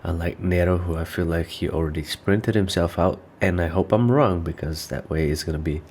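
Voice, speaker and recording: A man's voice: low-pitched, fairly quick, darkish tone, slight background noise. A man hosting a casual reaction video, nasal and stuffed up from allergies, rambling as he thinks aloud. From North Macedonia.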